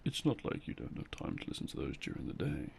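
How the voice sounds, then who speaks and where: A man playing a loving, gently teasing boyfriend in an intimate ASMR roleplay, speaking softly and close to a binaural microphone. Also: quick pace, natural voice. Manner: soft, hushed, close and coaxing. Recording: close binaural recording, faint noise.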